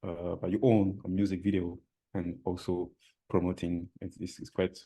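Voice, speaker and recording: A soft-spoken man with a slight foreign accent answers haltingly and restarts his phrases. His voice is deep and mellow. He sounds modest and thoughtful. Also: little pitch movement, slightly rough. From Switzerland. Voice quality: low voice. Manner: hesitant, many restarts, soft-spoken, laughs shyly. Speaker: musician guest. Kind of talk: interview answers.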